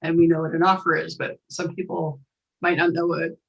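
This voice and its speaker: A woman's voice: low-pitched, flat delivery, a little fast, creaky, lo-fi sound. A woman hosting a podcast, welcoming a guest and asking upbeat, encouraging interview questions. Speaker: podcast host.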